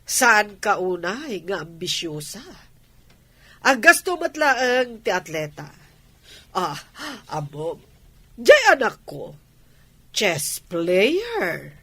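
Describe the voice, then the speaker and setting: A woman's voice: medium pitch, big pitch swings, very slow, long pauses, sharp tone, breathy edge, dry recording, heavily acted. A woman playing a nosy, ambitious middle-aged mother in a radio drama, with an Ilocano accent. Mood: prying.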